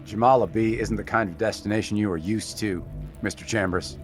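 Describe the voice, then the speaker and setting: An actor playing a seasoned, world-weary hunter guide in a sci-fi audio drama, speaking in a measured, dry, authoritative way. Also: male voice, lowish voice, steady tone, music in the background, natural voice. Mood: commanding. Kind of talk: audio drama acting with narration.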